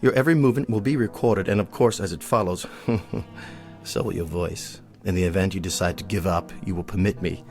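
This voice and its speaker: A deep-voiced, haughty, stern colonel. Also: male voice, darkish tone, faint music behind. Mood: measured, commanding. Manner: measured, formal, pompous. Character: haughty, wealthy club colonel, stern and exacting. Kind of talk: audio drama acting.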